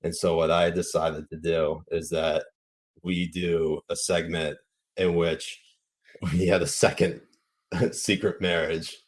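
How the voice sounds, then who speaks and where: A man's voice: sits low, flat delivery, slow. A man hosting a podcast, telling stories in a rambling way full of fillers. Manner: rambling, filler-heavy storytelling. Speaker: podcast host. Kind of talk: casual podcast chat.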